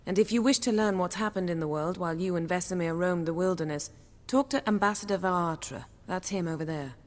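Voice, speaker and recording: An actress playing a composed, assured sorceress in a video game, speaking with a slightly wry edge. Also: female voice, lowish voice, a little fast, in a large hall, natural voice. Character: poised, commanding sorceress, adult woman.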